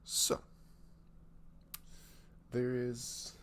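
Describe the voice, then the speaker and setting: A man playing a goofy young best friend in an ASMR roleplay, halting and mock-professional. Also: mid-range voice, completely flat delivery, a bit bright, a little hiss or hum, voice pushed up. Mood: mock-formal, teasing.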